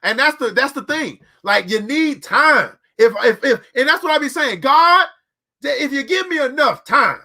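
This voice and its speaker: A man's voice: very high-pitched, lively intonation, moderate pace, sharp tone. A man in his forties hosting a show, giving a preachy motivational talk about money and repeating phrases for emphasis. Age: 40s. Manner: preachy, repeats phrases, talks straight to the audience. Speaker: podcast host.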